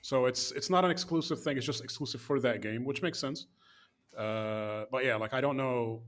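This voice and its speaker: A man's voice: medium pitch, moderate pace, fairly bright tone. A man co-hosting a gaming podcast, giving opinionated, rambling takes with lots of fillers and restarts. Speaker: podcast co-host. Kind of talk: casual chat.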